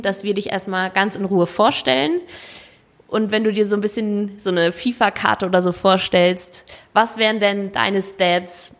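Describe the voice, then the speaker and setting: A woman, hosting a casual podcast interview, bubbly and slangy, laughing often. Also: mid-range voice. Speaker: podcast host.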